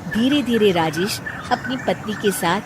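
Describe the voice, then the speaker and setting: A woman's voice: medium pitch, expressive, unhurried, background noise. A woman reading scripted narration for a cartoon family story.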